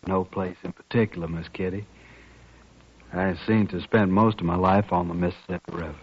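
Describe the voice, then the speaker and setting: Very deep-voiced, courteous drifter Kane. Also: male voice, steady tone, moderate pace, breathy edge. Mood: polite, reserved. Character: courteous, reserved, drifting riverboat guitar player. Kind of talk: 1950s radio western acting. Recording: muffled, noisy old 1950s radio recording.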